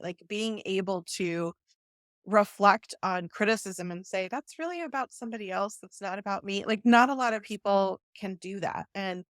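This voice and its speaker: A woman hosting a podcast, asking questions in an energetic, enthusiastic and affirming way. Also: lowish voice, creaky, dry recording, clean studio sound. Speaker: podcast host. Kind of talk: casual interview talk.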